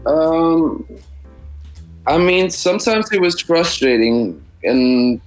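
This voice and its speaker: A musician speaking English, a man giving halting, reflective interview answers full of restarts. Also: medium pitch, low-quality recording. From Denmark. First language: Danish. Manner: halting, many restarts and repeats. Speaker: musician guest.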